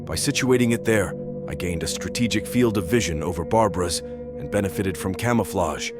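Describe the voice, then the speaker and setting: Audio drama role: a courteous, controlled male stalker, played by a man, low register, formal delivery, clear music bed. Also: brisk, natural voice. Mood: calm, scheming. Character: male stalker posing as polite tech support worker, narrating calmly. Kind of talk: scripted drama narration and dialogue.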